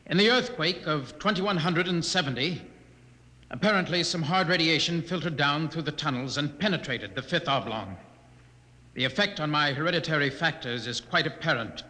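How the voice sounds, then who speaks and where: An actor playing a bitter, sensitive outcast in a 1950s radio drama, articulate, sardonic and intense. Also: male voice, fairly high pitch, sharp tone. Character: bitter, sensitive outcast man labelled genetically imperfect. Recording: old 1950s radio recording.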